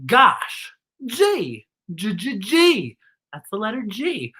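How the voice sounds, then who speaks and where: A woman's voice: mid-range voice, wildly swooping pitch, takes it slowly, bright, forceful tone, husky. A woman hosting a children's story time in a playful, theatrical, giggly manner, teaching letters. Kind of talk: playful children's show presenting with letter lessons and singing.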